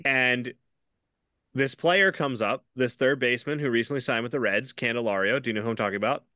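A man's voice: medium pitch, brisk, bright, forceful tone, no room sound, clean studio sound. A man co-hosting a podcast, chatting casually and telling rambling stories, laughing often. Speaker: podcast co-host.

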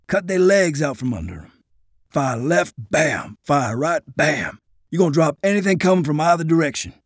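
A man's voice: fairly high pitch, lively intonation, normal speed, breathy edge, no echo, clean studio sound. A man voicing a folksy, confident army corporal in an audiobook war story, with a rural Southern American drawl and a colloquial, instructive way of talking. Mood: instructive, approving. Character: folksy, confident soldier corporal, good with guns.

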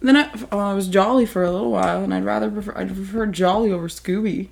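A woman's voice: mid-range voice, fast talker, creaky. A woman chatting casually, swearing a lot, rambling with fillers and giggling often. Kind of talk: casual chat.

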